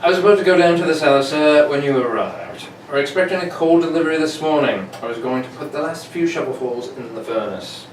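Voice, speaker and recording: A man's voice: a little high, lively intonation, fairly quick, hardly any pauses, close to an everyday voice. A man playing a formal, polite, unflappable manservant in an amateur 1940s-style radio play. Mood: polite, confiding. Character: very tall, deferential, unflappable manservant. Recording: echoey room, distant mic, faint noise.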